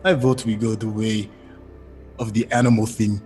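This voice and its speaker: A man playing a tabletop game, chatting casually as he asks questions and deliberates. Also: fairly low pitch, flat delivery, a little mellow, faint music behind.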